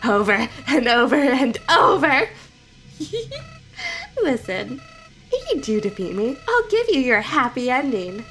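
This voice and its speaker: A woman's voice: sits high, huge pitch swings, slow, a bit bright, faint music behind, heavily acted. A woman voicing a sneering, cruel talking flower who mocks and taunts, in a fan dub of a game. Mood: menacing. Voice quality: mocking, taunting. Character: sneering evil flower, boyish, cruel and gleeful.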